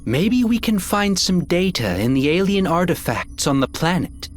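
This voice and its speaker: Lively-toned expedition leader. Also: female voice, fairly low pitch, moderate pace, faint music behind. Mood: questioning. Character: composed expedition leader taking charge of a crew. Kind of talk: video game cutscene acting.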